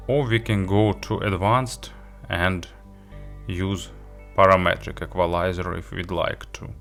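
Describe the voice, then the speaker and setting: A man giving a product review walkthrough, halting a little as he lists features item by item. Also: lowish voice, a bit bright. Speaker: tech reviewer demonstrating an audio player.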